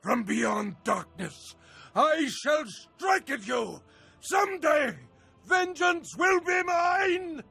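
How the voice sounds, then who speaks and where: An actor playing an arrogant, wrathful dark lord in a video game, declaiming in a pompous, theatrical, menacing way with a gravelly, forceful voice. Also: male voice, extremely high pitch, lively intonation, very slow, full character voice. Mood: enraged, desperate. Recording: music under the voice.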